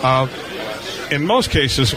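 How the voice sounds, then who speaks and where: An American man chats as a radio host at a mid pitch. His talk is folksy and rambling, with repeated words, and there is clear noise of a convention floor behind him. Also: animated, slow. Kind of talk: casual live radio chat. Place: booth on busy convention floor. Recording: noisy convention hall floor, live broadcast.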